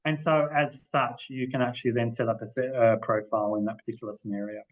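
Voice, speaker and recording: A man's voice: medium pitch, steady tone, fast. Man explaining, slightly muffled call audio. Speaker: library manager presenting. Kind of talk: informal explanatory presentation. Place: online video call. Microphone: video-call microphone.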